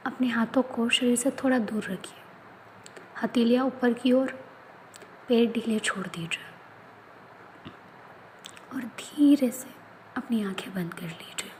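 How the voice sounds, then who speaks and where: A woman leading a guided yoga nidra relaxation, speaking in soft, often whispered instructions. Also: a little high, takes it slowly, long pauses, a little hiss or hum, poor audio. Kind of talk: guided meditation.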